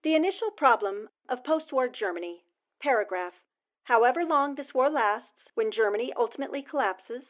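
Actress doing a newspaper lady dictating on an old dictaphone, dull sound, bit noisy, highish voice. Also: lively intonation, a little fast. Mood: measured. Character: captive newspaperwoman dictating her column. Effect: dictaphone recording playback. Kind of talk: formal dictation in 1940s radio drama. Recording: noisy, band-limited old dictaphone playback.

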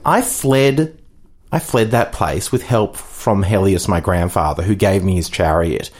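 A man's voice: low voice, unhurried, a little mellow. A man playing Medea, a proud, unrepentant ancient Greek sorceress, with grand, camp, self-satisfied storytelling in an improvised comedy podcast.

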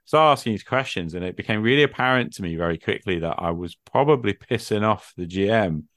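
A man talks in a low register, recorded dry with little room sound. He muses in a casual, reflective way, feeling for his phrasing and tossing out rhetorical questions. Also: animated, fast, a bit bright, no room sound. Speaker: podcast speaker, tabletop gamer.

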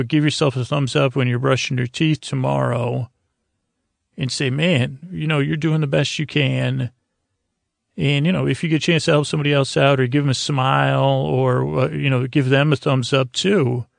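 A man with a gravelly voice hosting a bedtime podcast, droning through a halting, meandering monologue full of stammered repeats and doublings back. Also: medium pitch, steady tone, dry recording, clean studio sound. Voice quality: gravelly, creaky. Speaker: podcast host. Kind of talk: rambling, meandering bedtime monologue meant to lull listeners to sleep.

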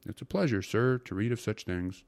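A man voicing a fussy, gluttonous court secretary in a storybook reading, coaxing and savoring each word with a breathy, stammering delivery. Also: fairly low pitch, animated, normal speed, darkish tone, no echo. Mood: blissful. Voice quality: breathy, often dropping to a whisper. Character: fussy, gluttonous male court secretary, rapturous about food.

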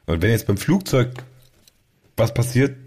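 A man's voice: medium pitch, sing-song, measured pace, darkish tone, husky. A man co-hosting a podcast, chatting casually in a playful, teasing way with mock announcements. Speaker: podcast co-host. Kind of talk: casual joking podcast chat.

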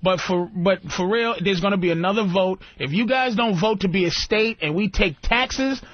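A man's voice: high voice, moderate pace, dry recording. A man co-hosting a radio talk show, arguing bluntly and profanely with a caller. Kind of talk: heated radio argument. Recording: dull lo-fi radio broadcast.

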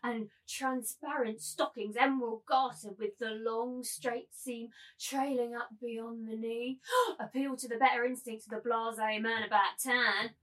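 Woman acting a high-voiced, domineering, mocking brothel keeper. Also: low-quality recording. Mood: gleeful salesmanship. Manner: commanding, taunting, theatrical. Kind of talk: dramatised literary reading.

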